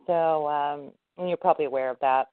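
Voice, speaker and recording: A woman's voice: low voice, fairly quick, no echo. A woman hosting a call-in conference, moderating in a casual, conversational way over the telephone. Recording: muffled phone conference line.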